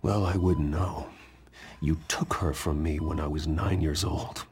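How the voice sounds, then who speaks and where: A man's voice: rumbling low, fairly even intonation, measured pace, a little mellow. A man playing a guarded, grim vigilante billionaire in a video game, terse and controlled. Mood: bitter, demanding. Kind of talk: video game drama acting.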